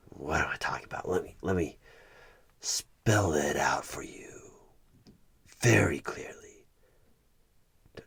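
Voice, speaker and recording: A man playing a sinister evil tempter in a horror ASMR roleplay, coaxing and menacing in a slow, raspy half-whisper as a job interviewer. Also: rumbling low, fairly bright tone, heavily acted. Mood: scheming, persuasive. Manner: slow, deliberate, persuasive, repeating words for emphasis.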